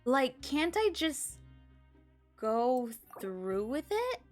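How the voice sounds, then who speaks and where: A woman's voice: high-pitched, sing-song, very slow, soft background music. A woman chatting casually on a live game stream, musing aloud to herself and her viewers.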